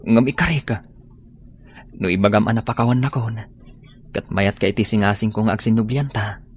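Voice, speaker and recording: A man's voice: on the low side, normal speed, slight background noise, lightly acted. An actor playing a remorseful young man in an Ilocano radio drama, pleading earnestly with a lover.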